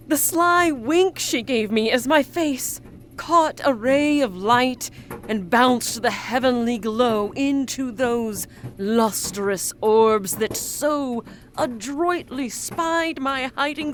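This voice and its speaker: A lovelorn little coin, acted by a woman, speaks in a high voice with ornate, grandiloquent flourishes. The delivery is slow and theatrical, a forlorn audio drama monologue. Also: fairly bright tone, slight background noise. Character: forgotten lost coin, lovelorn, florid and melodramatic.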